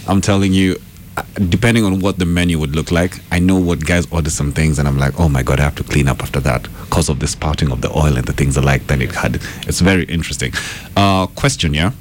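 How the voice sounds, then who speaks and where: Host, male, very deep register. Informal questioning with easy conversational acknowledgements, light background noise. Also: fast. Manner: informal, frequent murmured acknowledgements. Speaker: interview host. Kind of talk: casual conversational interviewing.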